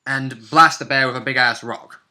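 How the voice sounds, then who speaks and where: A man with a sharply bright voice at a middle pitch talks casually about a role-playing session. He is a player telling the story in an animated, informal way. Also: normal speed. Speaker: tabletop role-play player recounting a session. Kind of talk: casual chat recap of a game session.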